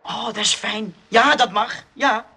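A man playing an eager, naive and excitable boy in a Dutch children's radio play. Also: sits high, a little slow, creaky, husky, full character voice. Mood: eager, surprised.

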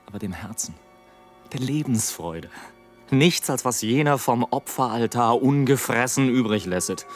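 A man's voice: mid-range voice, animated, a little slow, natural voice. Man acting a bitter, declamatory balloonist, music underneath. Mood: scornful. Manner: declamatory, theatrical, ironic. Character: bitter, misanthropic male balloonist, eloquent and world-weary. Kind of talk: German radio play monologue.

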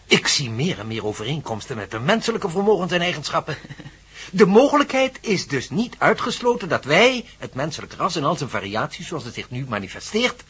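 A learned man of science, courteous and pedantic. His voice is very raspy and fairly high, with lively swings as he explains in formal phrases. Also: a little fast, full character voice. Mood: fascinated. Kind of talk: radio play acting.

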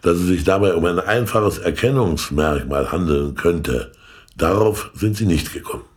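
An actor playing a shrewd, all-knowing informant in a radio play, lecturing in a pedantic, sardonic way. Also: male voice, deep voice, animated, normal speed. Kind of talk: German radio play acting.

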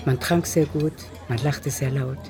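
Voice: medium pitch, fairly even intonation, unhurried, music in the background, a crowd in the background, lightly acted. A performer as a composed literary narrator, reading scripted narration in a radio drama. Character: composed literary narrator of a nineteenth-century novel.

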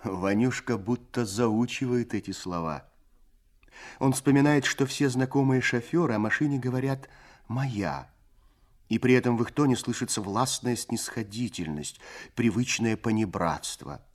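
An actor narrating a Soviet radio play in a lyrical, literary way, with measured, expressive phrasing. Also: male voice, mid-range voice, natural voice. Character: male storyteller, lyrical and unhurried.

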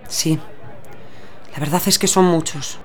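A woman's voice: low voice, fairly even intonation, a little slow. An actress playing a patient, idealistic mother explaining things earnestly to her child in a radio drama. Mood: patient, wary. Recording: busy outdoor street crowd behind.

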